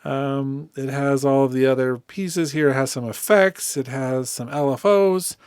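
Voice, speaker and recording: A man giving a casual, conversational software walkthrough as a tutorial host. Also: medium pitch, measured pace, smooth, no echo, clean studio sound. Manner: informal, conversational.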